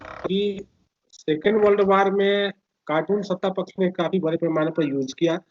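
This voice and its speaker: A man explaining history in conversation as an interview guest, speaking haltingly and repeating his phrases. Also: sits high, fairly even intonation, darkish tone. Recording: muffled lo-fi recording.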